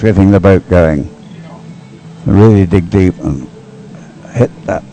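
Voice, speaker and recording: Deep-voiced man commentating on sport over music. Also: creaky, warm tone, low-quality recording. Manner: hesitant, conversational, loosely phrased. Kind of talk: live commentary on dragon boat racing.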